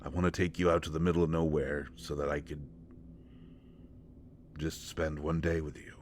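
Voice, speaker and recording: Audio drama role: male actor as plain-spoken, nervous, protective country man, deep register, halting folksy delivery, slight noisy ambience. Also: little pitch movement. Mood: awkward, affectionate. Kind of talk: intimate roleplay audio drama acting.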